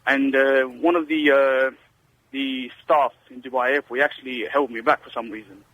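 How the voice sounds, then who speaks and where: A man's voice: mid-range voice, steady tone, normal speed. A man calling in to a radio phone-in, telling a long story with many hesitations and restarts. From Britain. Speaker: caller. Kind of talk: phone-in call. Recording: phone line.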